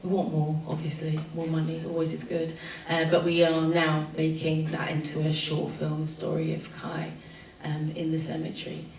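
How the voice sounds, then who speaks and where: A woman's voice: sits low, monotone, moderate pace, a little mellow. A woman with a London accent speaking as a panel speaker, reflective and informal, with frequent ums and restarts. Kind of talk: spoken presentation at a panel. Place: event room at a festival panel. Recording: echoey room, distant mic, faint room noise.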